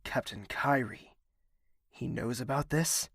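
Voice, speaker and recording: Gravelly, formal young prince. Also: male voice, mid-range voice, takes it slowly, a bit bright, no echo, studio quality, exaggerated voice. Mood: angry, commanding. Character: young prince, tender in private, commanding with subordinates. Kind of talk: audio drama acting.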